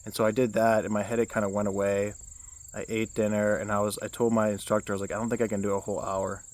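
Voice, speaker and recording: A young man with a low baritone voice talks through a casual self-help vlog. His delivery stays fairly flat and rambles, full of restarts and fillers. Crickets and insects chirp around him outdoors. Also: fast talker. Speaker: vlogger.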